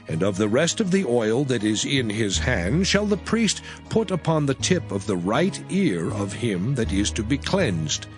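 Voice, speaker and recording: Male narrator, scripture reading, lively pitch, solemn and measured, faint music underneath. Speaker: scripture reader.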